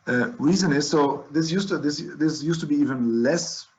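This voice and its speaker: A man's voice: a little high, moderate pace, a little mellow, dull audio. A man with a German accent, a physician lecturing on diagnosis over slides, explaining step by step with frequent restarts and fillers.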